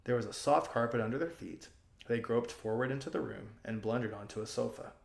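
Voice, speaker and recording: A man reading a children's story aloud as an expressive audiobook narrator. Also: medium pitch, brisk, gravelly, reverberant.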